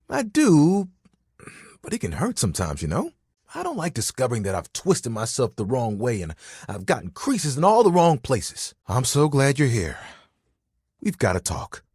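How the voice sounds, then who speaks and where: A man's voice: mid-range voice, wildly swooping pitch, moderate pace, darkish tone, breathy edge, no room sound, clean studio sound. A man voicing a friendly, vain, dramatic living towel in a video game, chatty and playful with an easily wounded manner. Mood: awkward, confiding. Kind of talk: animated, conversational game character acting.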